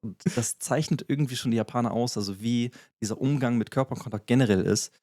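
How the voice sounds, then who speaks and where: A man's voice: medium pitch, fast talker, mellow, no echo, clean studio sound. A man co-hosting a podcast, explaining things in a casual, filler-heavy way. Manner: explanatory, many fillers and restarts. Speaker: podcast co-host.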